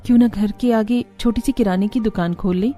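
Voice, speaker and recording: A woman's voice: medium pitch, brisk, mellow, faint music behind, natural voice. A woman playing a hardworking, patient village daughter-in-law and mother in a cartoon story. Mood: earnest.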